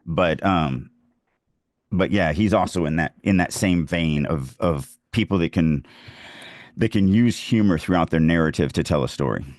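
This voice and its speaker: A man with a deep voice talks casually as a podcast co-host and writer. The sound is dry, with almost no room in it. He speaks conversationally, as in a discussion of craft between colleagues. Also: moderate pace, darkish tone, no room sound.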